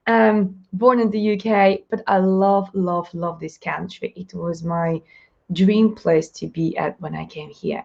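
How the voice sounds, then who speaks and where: A woman with a Russian accent hosting a live stream Q&A, chatty, upbeat and fast-talking, laughing often. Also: medium pitch, creaky, lo-fi sound. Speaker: live show host, mindset coach.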